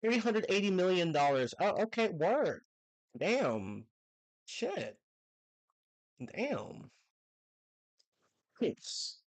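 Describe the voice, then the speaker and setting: A man's voice: high voice, sing-song. A young man chatting casually on a live stream, rambling with restarts, slightly congested from a cold. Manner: rambling, restarts and laughs, quick bursts.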